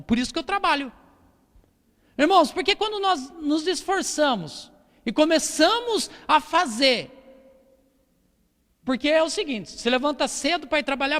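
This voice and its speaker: A man's voice: extremely high pitch, a bit bright. A man with a Brazilian Portuguese accent preaching a church sermon, exhorting with repetition and rhetorical questions. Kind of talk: church sermon with Bible reading. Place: church hall during a service. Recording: long hall reverb.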